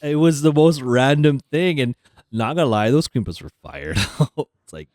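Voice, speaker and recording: A man co-hosting a casual podcast, telling stories with big swings in pitch. He acts out quotes in loud, exaggerated voices. The sound is dry and close to the mic. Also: moderate pace, no echo. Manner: animated storyteller, loud acted-out quotes, frequent laughs. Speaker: podcast co-host. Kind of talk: casual chat.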